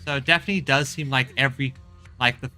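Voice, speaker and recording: A man's voice: mid-range voice, fairly even intonation, fast, bright, forceful tone. A man giving casual game commentary with wry, amused asides while game music plays. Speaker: game streamer. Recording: faint game music behind. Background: faint game music.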